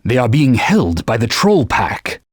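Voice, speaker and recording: A stern talking sword, formal archaic speech, husky tone, very lively pitch, dry booth. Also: male voice, measured pace, dry recording. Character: proud talking sword, stern knightly male mentor. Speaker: sword companion giving gameplay hints. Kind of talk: video game voice clips. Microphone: dry studio booth.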